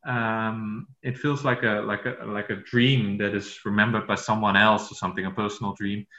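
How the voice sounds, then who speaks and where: A man from the Netherlands whose first language is Dutch, speaking English as a podcast guest and talking haltingly, with many fillers and restarts. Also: lowish voice, little pitch movement, pushed and bright, reverberant, dull audio. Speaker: author guest. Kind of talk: podcast interview.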